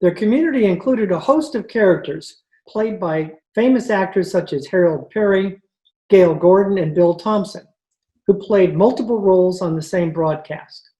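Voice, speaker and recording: A woman's voice: mid-range voice, moderate pace, dark tone, poor audio. A woman announcer reading scripted narration for an old-time radio show. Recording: video call recording.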